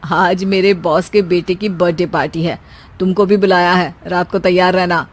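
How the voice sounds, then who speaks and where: A woman's voice: lowish voice, fairly even intonation, moderate pace, natural voice. A woman voicing a bossy young husband in a cartoon who keeps making joking remarks to his wife. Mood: teasing. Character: bossy teasing young husband, office worker. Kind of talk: cartoon voice acting.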